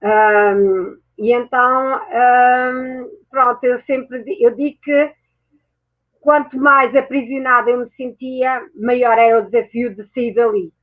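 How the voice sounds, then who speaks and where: A woman's voice: on the high side, steady tone, slow. A woman who grew up in France, a guest mentor telling her own story reflectively, correcting herself as she goes. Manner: reflective, speaks with many self-corrections. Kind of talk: personal storytelling in a chat. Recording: tinny phone-like audio.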